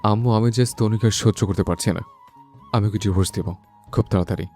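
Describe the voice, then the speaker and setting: A man's voice: lowish voice, fairly quick, mellow, soft background music, lightly acted. A man playing a brooding, lovestruck young son in an audio love story, earnest and insistent, mixing in English words. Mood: fed up, insistent.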